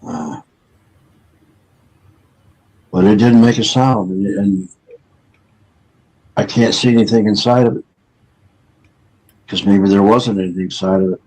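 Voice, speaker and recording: A man with a deep, gravelly voice, recalling a memory haltingly while under hypnotic regression. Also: darkish tone, poor audio. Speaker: regression subject.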